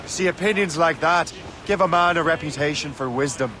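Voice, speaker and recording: An actor playing a cheeky, roguish young Irish sea captain in a video game cutscene, teasing and breezy. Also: male voice, on the high side, fairly quick, close to an everyday voice. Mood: teasing, curious. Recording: clear sea and ship ambience behind. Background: waves, wind and ship sounds.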